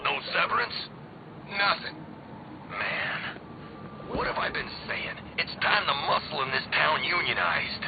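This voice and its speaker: A man's voice: on the high side, very animated, measured pace, creaky, muffled recording, background noise, full character voice. An actor playing a gossiping, grumbling street henchman with a casual, incredulous manner, as in video game background chatter. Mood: incredulous griping. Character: gossiping, griping street thug henchmen, adult men.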